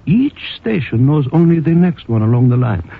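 An earnest underground resistance man. His voice is raspy and fairly high for a man, lifting and falling dramatically as he speaks in formal, fatherly phrases. It comes from a 1950s radio drama. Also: very animated, heavily acted. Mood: careful, helpful. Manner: formal, deliberate phrasing with chuckles. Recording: phone-like old radio recording.

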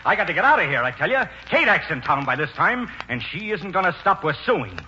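Flamboyant blustering stage brother, animated swooping delivery, sharp voice, 1940s radio play. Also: male voice, high voice, brisk, exaggerated voice. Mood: frantic, indignant. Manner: theatrical, blustering. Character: flamboyant, frantic actor brother, hot-tempered and self-dramatizing. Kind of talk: 1940s radio drama acting. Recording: dull, faintly noisy old radio.

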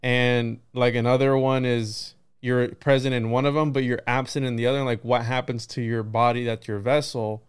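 A man with a clear, smooth voice talks in a loose, conversational podcast style. His delivery is rambling and reflective, with frequent little check-ins as he works through his thoughts. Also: mid-range voice, little pitch movement, normal speed, few pauses. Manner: rambling, musing, peppered with right and you know. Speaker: podcast host. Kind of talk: casual podcast conversation on esoteric ideas.

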